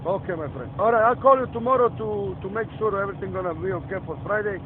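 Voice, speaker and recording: A man's voice: sits high, fast, close to an everyday voice. A man playing a chatty, confused, overly friendly trucker with an Indian accent, rambling casually on a phone call. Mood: eager, friendly. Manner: rambling, effusive, repeatedly calls listener friend and man. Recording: tinny phone line, loud vehicle noise. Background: vehicle engine noise.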